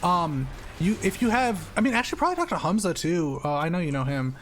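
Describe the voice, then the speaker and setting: A man's voice: sits high, expressive, fast talker, slight background noise. A man streaming a game, chatting loosely with a slight stutter, restarting his sentences and laughing often. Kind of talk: casual chat while gaming.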